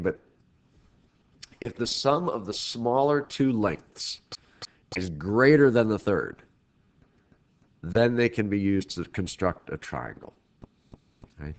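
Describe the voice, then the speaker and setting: Guy with a really low voice and a stuffed-up head cold, talking through a math lesson, loose and rambly, lots of restarts. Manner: casual, loose, with frequent restarts and fillers. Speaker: teacher. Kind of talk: recorded math lecture. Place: at home, recording remotely.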